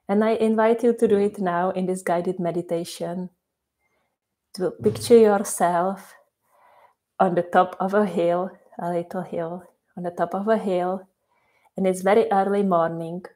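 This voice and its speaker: Czech woman leading a meditation, super slow soft voice, lots of pauses and repeats. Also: fairly low pitch. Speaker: meditation guide. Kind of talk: guided meditation.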